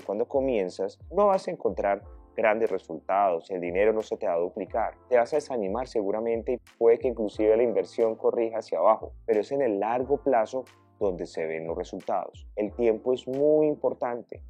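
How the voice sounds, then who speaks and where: Financial advisor, man, low voice, direct address, faint background music. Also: expressive, moderate pace, dark tone. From Colombia. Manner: earnest, coaching, addresses viewer directly. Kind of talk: direct-to-camera advice talk.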